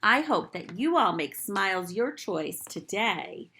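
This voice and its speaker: Slow-paced woman reading to young children. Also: medium pitch. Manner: deliberate, animated storytelling for small children. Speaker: nursery school teacher reading aloud. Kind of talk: expressive picture-book read-aloud for young children, with asides.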